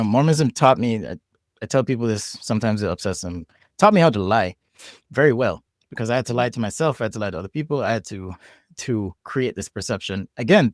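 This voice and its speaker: A man's voice: medium pitch, no echo, studio quality. A man from Jamaica with a Jamaican accent, talking reflectively as a podcast guest, often restarting and repeating words as he thinks. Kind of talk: casual conversation.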